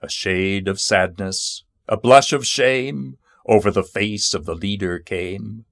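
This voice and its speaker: A man reciting narrative poetry in a measured, solemn and dramatic manner. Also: on the low side, a bit bright, no room sound. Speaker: poetry reader.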